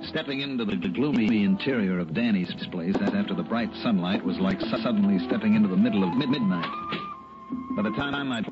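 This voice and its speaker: An actor playing a shrewd, wry private investigator in early sixties radio drama, clipped and probing. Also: male voice, on the low side, expressive, fairly quick, lightly acted. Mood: narrating, wry. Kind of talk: early 1960s radio drama acting and narration. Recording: dull vintage radio recording, music behind.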